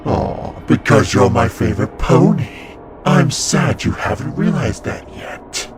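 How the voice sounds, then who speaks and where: A man's voice: fairly low pitch, sing-song, measured pace. A man playing an evil ancient winged serpent spirit in a cartoon comic dub, gloating and mocking with theatrical delivery. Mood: taunting.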